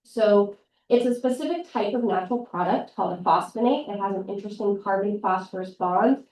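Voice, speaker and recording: A woman's voice: mid-range voice, a little fast, darkish tone, slight background noise. A young female undergraduate explains her research steadily, in a mid-range voice. She is heard in a roomy hall from some distance away, with faint murmuring behind her. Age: young adult. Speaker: undergraduate student presenter. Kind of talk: short research talk. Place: large campus hall.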